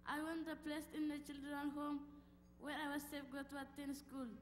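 Girl from Ethiopia reciting flatly, echoey. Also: sits high, moderate pace, faint music behind. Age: child. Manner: flat, memorized delivery. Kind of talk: reciting a prepared testimony. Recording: echoey church hall with faint hum.

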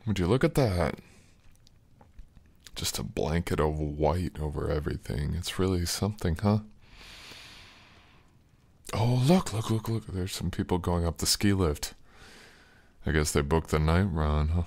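A young man plays an affectionate, teasing boyfriend in an intimate ASMR style. His voice is extremely low with a gravelly fry. He murmurs slowly and tenderly, close to a dry microphone. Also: darkish tone, no room sound. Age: young adult. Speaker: audio roleplay performer playing a boyfriend.

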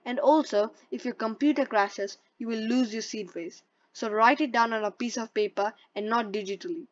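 A woman's voice: fairly high pitch, normal speed. A woman presenting a step-by-step tutorial in a plain, instructional way, reading from a script. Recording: dull lo-fi voice-over.

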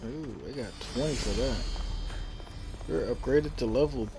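A man's voice: medium pitch, moderate pace, gravelly, noisy background. A man casually commenting as he plays a video game, chatting and laughing with his viewers. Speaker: gamer playing a video game.

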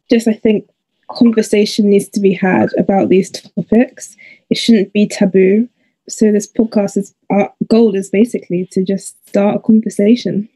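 A young woman with a London accent chats casually as a podcast co-host. Her tone is warm and relaxed at a middle pitch, recorded close and dry. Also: breathy edge, no echo, rough recording. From South London, England. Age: around 20.

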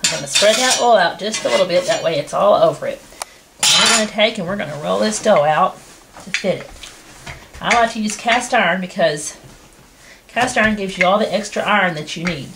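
A woman's voice: medium pitch, vocal fry. Homey woman demonstrating a cooking recipe. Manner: plain, homey, unhurried explaining. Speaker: home cooking show host. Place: rustic wooden kitchen indoors. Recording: noisy home video with kitchen clatter.

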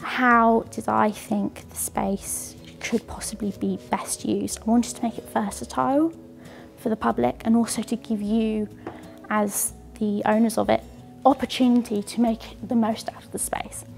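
A woman, an interior design student, presenting her proposal in a brisk, practical way in an ornate synagogue hall. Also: a little high, fairly even intonation, creaky, faint music behind.

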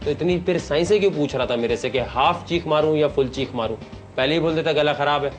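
A man's voice: a little high, fast, faint music behind. A man in his thirties hosting an audition show in a TV studio, grilling a contestant with blunt, mocking questions. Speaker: show host and audition judge. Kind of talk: teasing, challenging audition interview. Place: TV studio set, seated at a desk.

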